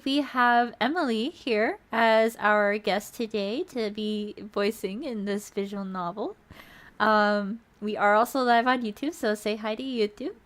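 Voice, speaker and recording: A woman streamer who narrates a visual novel aloud and chats casually with viewers. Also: on the high side. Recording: music playing behind the voice. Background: music.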